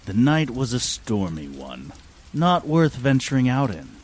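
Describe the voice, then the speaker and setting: A man's voice: mid-range voice, big pitch swings, a little slow. A man narrating a scripted radio play in a mock-serious storytelling manner. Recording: clear rain noise behind. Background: falling rain.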